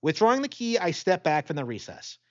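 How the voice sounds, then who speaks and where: A man with a slightly high voice narrates a gothic audiobook. His delivery is dramatic and very varied in pitch. The recording sounds a bit dull. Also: very animated, fairly quick.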